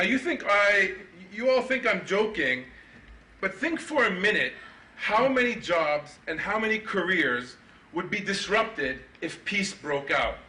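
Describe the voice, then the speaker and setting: A middle-aged man giving a keynote at a conference, with a sarcastic, ironic delivery and animated mock-indignant questions. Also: high voice, slight background noise. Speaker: keynote speaker. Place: conference hall. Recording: echoey hall, mic from far off.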